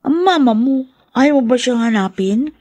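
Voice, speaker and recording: Woman playing friendly nosy schoolgirl pal in radio drama, high voice, dry close sound. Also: moderate pace, a little mellow, no room sound, natural voice. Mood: concerned.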